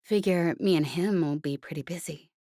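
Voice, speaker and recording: Woman reader as cocky female space smuggler, low, teasing, casual clipped delivery, audiobook. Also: normal speed, mellow, no room sound, studio quality, natural voice. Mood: teasing, suggestive.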